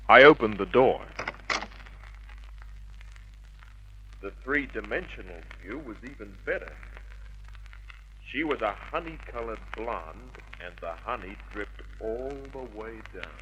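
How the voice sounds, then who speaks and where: An actor playing a tough, wisecracking private detective, sardonic and hard-boiled, in an old radio drama. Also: male voice, fairly low pitch, animated, a little slow, noisy background. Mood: wry narration. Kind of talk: mid-century radio drama acting with noir narration. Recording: muffled vintage radio drama recording.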